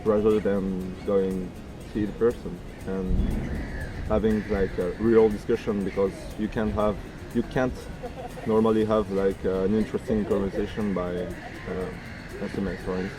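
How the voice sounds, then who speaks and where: A man's voice: fairly low pitch, level tone, unhurried, poor audio. Hesitant man with music behind. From France. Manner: hesitant, searching for words. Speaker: interviewee. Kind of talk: street vox pop.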